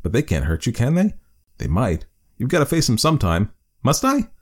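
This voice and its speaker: A man's voice: medium pitch, wildly swooping pitch, brisk, slightly rough, voice pushed up. A man voicing a lonely stranded space explorer, nervous and arguing with himself. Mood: anxious.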